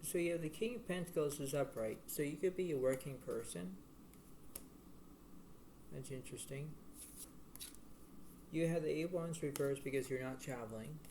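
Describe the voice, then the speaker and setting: A man giving a casual tarot reading to the viewer in a halting, repetitive, rambling way. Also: warm tone. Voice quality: low-volume voice. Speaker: tarot card reader. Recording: noisy homemade recording with room ambience.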